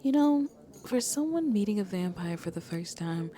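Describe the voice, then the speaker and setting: A woman playing a shy, sweet vampire on a first date in an ASMR roleplay, soft-spoken and hesitant, often dropping to a whisper. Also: medium pitch, animated, normal speed, close to an everyday voice. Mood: shy, warm. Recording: crowd chatter in the background. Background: crowd chatter.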